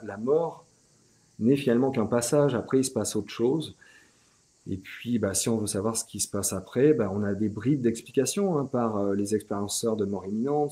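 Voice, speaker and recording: A middle-aged French-speaking man, a guest in an interview, talking in a relaxed, chatty way with frequent restarts and small fillers. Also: fairly low pitch. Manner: hesitant, many restarts and "tu vois".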